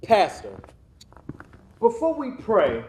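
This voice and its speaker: A man's voice: high-pitched, huge pitch swings, slow, a little mellow, roomy sound, slight background noise, exaggerated voice, rough recording. An actor playing a blunt, defiant young man who challenges a pastor in a stage play.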